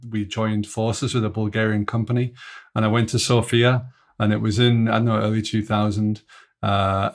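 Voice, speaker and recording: A man co-hosting a podcast, bantering and telling rambling anecdotes. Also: on the low side. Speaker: podcast co-host. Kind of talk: casual podcast chat.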